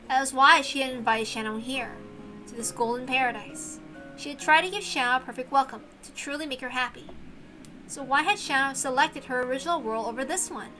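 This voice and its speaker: A woman's voice: high-pitched, bright, forceful tone, poor audio. A woman reading dramatic scripted narration from a visual novel.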